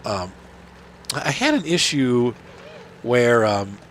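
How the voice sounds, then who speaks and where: A man's voice: mid-range voice, very animated, slow pace, fairly bright tone, slight background noise. A middle-aged man with a cold that makes him sound nasal, chatting casually and rambling as he plays a farming game. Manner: rambling, chatty, many fillers and restarts. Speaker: gaming channel host. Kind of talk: casual commentary while playing.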